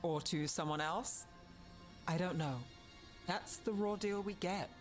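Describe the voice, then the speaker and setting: A level-headed male investigator in a fantasy game. His voice is fairly high for a man, dry and skeptical, with some music in the background. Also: a little slow. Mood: wry, thoughtful. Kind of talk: video game cutscene acting.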